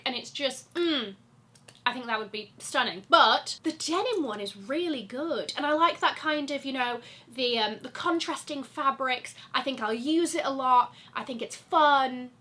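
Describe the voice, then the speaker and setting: Young female vlogger, bright high voice, bunged up with a cold, chatty and gushing. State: congested from a cold. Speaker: luxury fashion vlogger. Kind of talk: chatty sponsored wishlist vlog.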